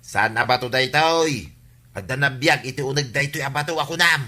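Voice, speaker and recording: Simple village man, Ilocano accent, extremely bright tense tone, medium pitch, insistent, comic. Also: normal speed. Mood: earnest, insistent. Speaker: actor in a radio comedy drama. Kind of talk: Ilocano comedy radio drama acting.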